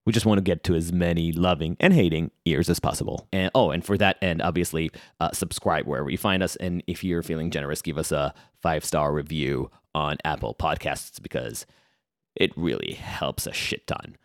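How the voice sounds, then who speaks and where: Deep-voiced Israeli man, dry close sound. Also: a little fast, no room sound. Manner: hesitant, restarts sentences, dry humor. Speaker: podcast co-host. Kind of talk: casual podcast chat and promo.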